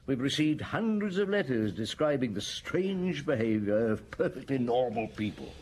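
Casting: male actor as seasoned detective for radio drama, thoughtful and deliberate, lively intonation, mid-pitched, noisy track. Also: natural voice. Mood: reflective, explaining. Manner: measured, deliberate, musing.

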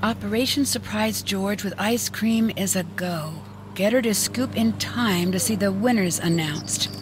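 A woman's voice: measured pace, natural voice. An actress playing a bubbly, scheming, supportive young woman in a video game. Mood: upbeat. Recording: clear ambient rumble behind.

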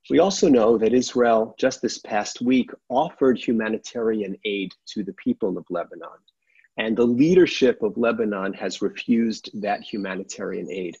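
A middle-aged man, a rabbi, giving a measured, earnest sermon from a sunlit room at home over a laptop webcam. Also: fairly low pitch, darkish tone, breathy edge, no room sound. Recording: dull, dry webcam audio.

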